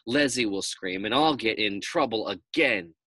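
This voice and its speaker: An earnest storybook schoolboy, played by a man, protesting and justifying himself. The voice is bright and swings widely in pitch as he wavers reluctantly. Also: lively intonation, normal speed, slightly rough, dry recording, heavily acted. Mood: reluctant, wavering. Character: schoolboy, earnest, reluctant, easily tempted troublemaker. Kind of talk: storybook character voice.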